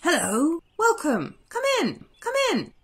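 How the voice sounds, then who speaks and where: A woman's voice: high-pitched, wildly swooping pitch, takes it slowly, pitched above the performer's own voice, full character voice. A woman voicing kind, eager, protective little dwarfs with a bustling manner in a storybook. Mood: welcoming.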